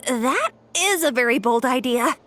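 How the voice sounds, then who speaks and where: A fussy, careful technician in a video game. Her voice is high and lilting, prim and a bit hesitant, over faint music. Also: animated, measured pace, fairly bright tone. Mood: startled, cautious.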